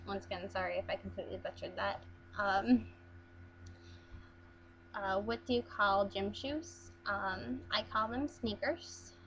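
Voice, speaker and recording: A woman's voice: medium pitch, a little slow, a bit bright, a little hiss or hum, poor audio. A young woman from Las Vegas chatting casually through an accent challenge, hesitant with frequent ums and restarts. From Las Vegas, USA. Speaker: vlogger.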